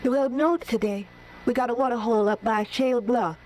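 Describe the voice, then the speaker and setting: A woman's voice: fairly high pitch, a little fast, a little mellow, lightly acted. An actress playing a shy, wary young ranch girl in a vintage radio drama, speaking hesitantly. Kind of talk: 1950s radio drama acting. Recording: hissy old radio broadcast.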